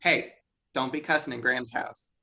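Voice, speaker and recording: A man's voice: medium pitch, fairly even intonation, moderate pace, creaky, sharp tone. A man playing Tim, a touchy, defensive young man in a staged play reading. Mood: matter-of-fact. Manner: short, prickly replies.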